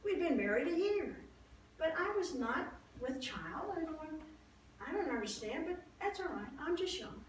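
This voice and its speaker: A woman playing an aging, wistful wife of an ancient priest, telling her life story in a chatty, halting, folksy way as a dramatized Bible monologue. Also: sits high, very animated, pitched above the performer's own voice. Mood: puzzled, yearning. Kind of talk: first-person dramatized Bible storytelling to an audience. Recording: echoey room, distant mic, faint noise.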